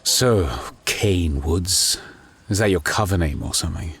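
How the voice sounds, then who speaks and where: A man acts a resolute undercover detective bent on revenge. The voice is deep and husky. He speaks tersely, with a dry edge, as in an audio drama. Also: measured pace, no echo, full character voice. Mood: probing. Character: determined undercover detective seeking vengeance, wry.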